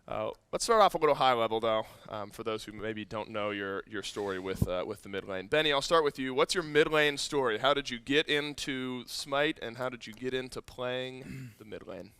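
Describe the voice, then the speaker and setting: Crisp bright-voiced man hosting a panel. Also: medium pitch, moderate pace, hardly any pauses, no room sound. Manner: joking, bantering, asks many questions. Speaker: host of an esports roundtable. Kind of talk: casual unscripted panel hosting.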